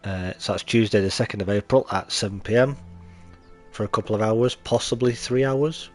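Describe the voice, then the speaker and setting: British male streamer, low-ish voice, congested, nasal, chatty and friendly with chat. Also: steady tone, normal speed, faint music behind. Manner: chatty, greets viewers and thanks them. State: nasal and chesty from allergies. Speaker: game streamer. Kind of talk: live stream gameplay commentary.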